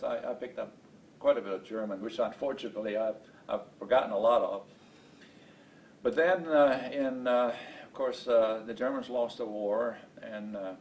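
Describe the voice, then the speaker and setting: A man in his late seventies from Estonia, a native Estonian speaker, recalling his life in an oral history interview in a halting, deliberate way with many hesitations. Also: a little mellow. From Estonia, later Baltimore, USA. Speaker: interview guest, space program veteran. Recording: distant mic, noisy rumbling background.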